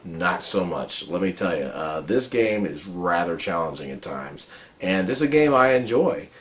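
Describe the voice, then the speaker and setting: A man reviewing a board game and explaining its rules in a casual, chatty way, his voice a little raspy from a cold. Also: low voice, a little slow, a little hiss or hum. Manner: relaxed, chatty explaining with many fillers. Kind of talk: casual how-to-play review.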